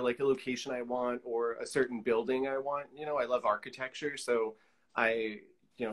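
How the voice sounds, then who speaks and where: A man's voice: mid-range voice, poor audio. A middle-aged man being interviewed casually on a video call, thinking aloud in loose, hesitant fragments. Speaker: guest, collage artist. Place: indoor room, on a video call.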